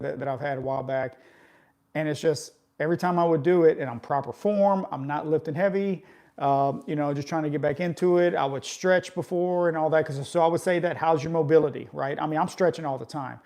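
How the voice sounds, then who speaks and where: A man's voice: a little high, a little mellow. A man in his forties chatting casually with live viewers about workouts, coaching in a conversational way and often tagging his points with "right?". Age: 40s. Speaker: fitness vlogger.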